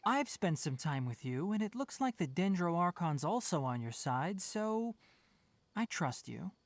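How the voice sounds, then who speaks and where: A woman voicing a composed young male scholar in an anime video game, measured, articulate and thoughtful. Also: fairly low pitch, big pitch swings, dry recording. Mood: calm, measured.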